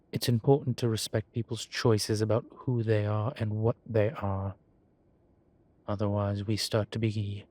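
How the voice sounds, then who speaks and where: A man plays a genteel demon professor in an audio drama. His voice sits low and moves very slowly, with deliberate pauses. He sounds erudite, formal and dryly amused, as if lecturing at his leisure. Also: darkish tone, no room sound. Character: urbane male demon professor, erudite, wryly amused, unhurried. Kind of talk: scripted audio roleplay, lecture and conversation.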